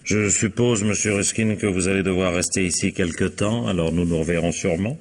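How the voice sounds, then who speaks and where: A shrewd police inspector with a very low voice. He probes with mock courtesy and gruff impatience, as in a radio mystery play. The old recording has clear background noise. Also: fairly even intonation, a little fast. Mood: polite, probing. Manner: probing, ironic, mock-polite.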